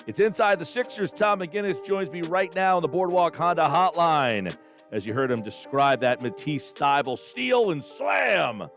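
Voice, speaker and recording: A man's voice: fairly high pitch, lively intonation, moderate pace, a bit bright, faint music behind. A man hosting sports talk radio in a conversational style, leading an interview.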